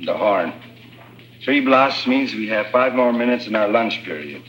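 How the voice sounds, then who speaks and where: An actor playing an earnest, secretive prisoner in a labour camp, with grave, formal phrasing in 1950s radio drama style. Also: male voice, on the low side, animated, unhurried, fairly bright tone, slight background noise. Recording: dull vintage radio recording, music behind.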